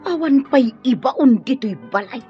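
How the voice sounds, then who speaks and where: A woman playing an anxious, fretful wife in an audio drama, with a husky voice. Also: high-pitched, animated, measured pace, highs cut off, faint music behind. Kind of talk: Ilocano audio drama acting.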